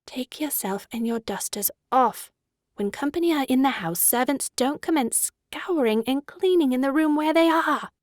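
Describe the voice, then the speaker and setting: A woman's voice: high voice, normal speed, no echo, studio quality, close to an everyday voice. An actress playing a petulant, haughty teenage girl in a dramatic audiobook, peevish and imperious. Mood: cross.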